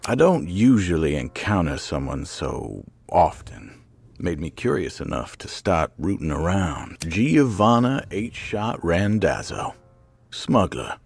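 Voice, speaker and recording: An actor playing a cool, menacing hired gun in a noir video game, sardonic and controlled. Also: male voice, low-pitched, a little mellow, breathy edge, no echo, full character voice.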